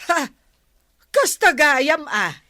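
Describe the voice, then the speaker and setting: Bright, swooping bossy village captain's wife. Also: female voice, sits high, sing-song, drawn-out words, no echo, clean studio sound, exaggerated voice. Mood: curious, miffed. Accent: Filipino, Ilocano. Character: bossy, vain village captain's wife, easily swayed by flattery. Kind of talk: Ilocano radio comedy drama acting.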